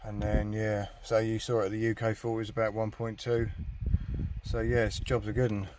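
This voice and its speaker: A man's voice: lowish voice, monotone, normal speed, noisy background. A British man giving a casual hands-on walkthrough, informal and colloquial, thinking aloud as he goes. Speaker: hobbyist vlogger. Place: in and around a parked car.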